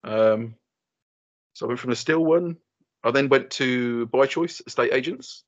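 A man's voice: medium pitch, unhurried, dry recording. Young guy rambling and swearing, dull lo-fi mic, dry. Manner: sweary, laughing, rambling storyteller. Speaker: podcast co-host. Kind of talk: casual banter.

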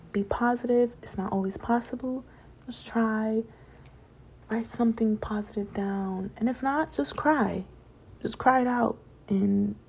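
Casual late-night podcaster woman, gravelly. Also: on the high side, darkish tone. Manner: casual, rambling, upbeat and preachy about positivity. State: voice getting raspy from talking late. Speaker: podcast host.

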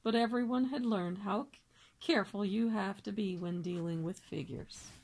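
A woman teacher reading a children's novel aloud in a plain, unhurried way. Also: medium pitch, darkish tone, a little hiss or hum, low-quality recording.

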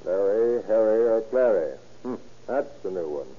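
A man's voice: on the low side, expressive, normal speed. Old time radio sheriff guy, all business, giving orders, muffled vintage recording. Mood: businesslike. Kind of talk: 1930s-40s radio crime drama acting.

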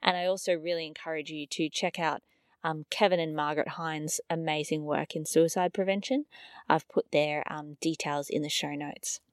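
Brief: woman podcaster, slightly low voice, bit raspy and nasal, earnest and chatty, dry close mic. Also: steady tone, moderate pace, no room sound. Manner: earnest, chatty with frequent fillers. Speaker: podcast host. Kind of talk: podcast episode introduction.

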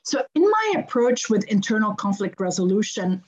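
A woman's voice: mid-range voice, very animated, normal speed, slightly rough, lo-fi sound. A woman in her fifties with a Lebanese accent, a guest on a podcast, explaining her work earnestly and repeating phrases for emphasis. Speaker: guest, conflict resolution coach. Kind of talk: podcast interview.

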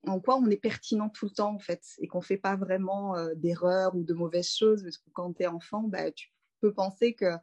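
A woman in her thirties from France, a doula speaking as a guest in a relaxed interview, thinking aloud with frequent fillers and restarts. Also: mid-range voice, moderate pace. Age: 30s. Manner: reflective, many fillers and restarts. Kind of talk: casual conversational interview.

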